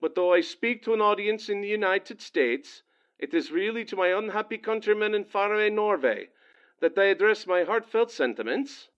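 A man's voice: sits high, expressive, normal speed, fairly bright tone, highs cut off. A man playing a courteous, nervous Norwegian anti-Nazi leader in a radio drama, with formal, oratorical delivery. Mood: earnest, rousing. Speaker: speech-maker.

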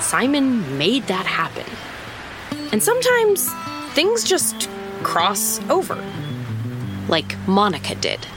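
An actress playing a wry, rattled small-town private detective who narrates in a conversational, casually profane way in an audio drama. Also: female voice, medium pitch, wildly swooping pitch, slow, pauses often. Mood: ominous, reflective. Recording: music under the voice.

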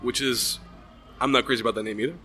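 A man's voice: medium pitch, fairly even intonation, quick pace, sharp tone, soft background music. A man co-hosting a casual podcast, explaining things to listeners in a dry manner. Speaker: podcast co-host, sound man.

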